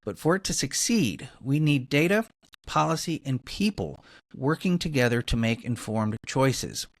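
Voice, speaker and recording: A man's voice: medium pitch, lively intonation, unhurried, dry recording, studio quality. A man speaking as a podcast host, introducing and interviewing guests.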